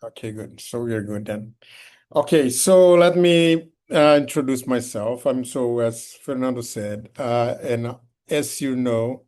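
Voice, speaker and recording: A man from Brazil with a Brazilian Portuguese accent, a native Portuguese speaker, giving an online talk over a video call in halting English with many restarts and fillers. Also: medium pitch, darkish tone. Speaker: guest speaker.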